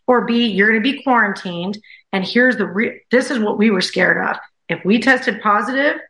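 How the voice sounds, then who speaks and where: Bright-voiced woman telling a story in an echoey room. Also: medium pitch. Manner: animated, repeats phrases for emphasis. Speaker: guest. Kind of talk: casual storytelling.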